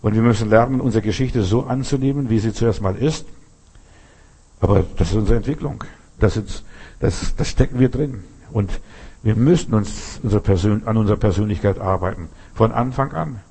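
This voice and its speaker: Husky-voiced man preaching a sermon. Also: lowish voice, normal speed, dark tone. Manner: emphatic, rhetorical questions, frequent restarts.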